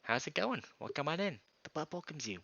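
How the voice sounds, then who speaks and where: A man narrating a visual novel aloud as a streamer, with informal, expressive asides. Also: a little high, fairly quick, gravelly, lo-fi sound.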